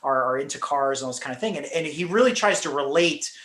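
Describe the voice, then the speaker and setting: A podcast host chatting about cars in a slightly raised voice, lively and quick to laugh. His voice rings with a roomy echo. He tells stories with plenty of enthusiasm. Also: on the high side. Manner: animated storyteller, laughs often. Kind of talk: casual chat.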